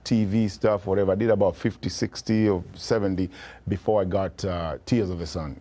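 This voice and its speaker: Man, 50s, low warm voice, easygoing anecdotal interview guest. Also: fairly quick. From Kenya. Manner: storytelling, relaxed, with restarts. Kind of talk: casual interview talk.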